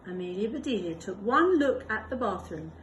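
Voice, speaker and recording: A woman, a primary school teacher, reading a picture book aloud with expressive storytelling and little asides. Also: mid-range voice, normal speed, slight background noise, low-quality recording.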